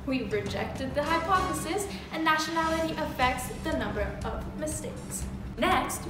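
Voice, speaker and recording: High-voiced woman explaining charts. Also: recorded from a distance. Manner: explaining, teacherly, with asides. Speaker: mock statistician guest. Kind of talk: scripted explanatory presentation. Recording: echoey room from afar, music behind.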